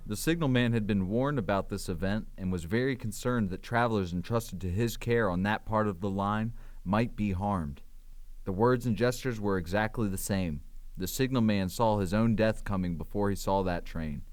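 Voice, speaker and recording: A man's voice: fairly low pitch, moderate pace, darkish tone, no room sound. A man narrating an audio drama in a scripted storytelling style.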